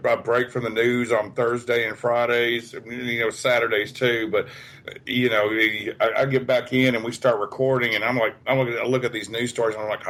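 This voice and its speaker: Man, podcast co-host, chatty, animated, average pitch. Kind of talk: casual interview chat.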